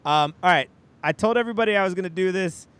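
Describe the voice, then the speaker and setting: A man hosting a podcast interview, talking in an excitable, hyped way and swearing freely. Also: high-pitched, fast talker, smooth. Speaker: podcast host. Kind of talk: casual podcast interview chat.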